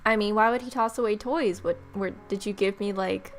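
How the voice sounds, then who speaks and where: A woman's voice: medium pitch, brisk. A woman hosting a let's play, reading game narration aloud dramatically with casual asides, music behind her. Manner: dramatic, expressive read-aloud.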